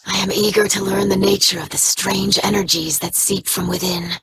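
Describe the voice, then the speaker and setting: A woman's voice: mid-range voice, flat delivery, moderate pace, noisy background, natural voice. An actress playing an earnest young female alien scholar who speaks in stiff, precise, formal diction.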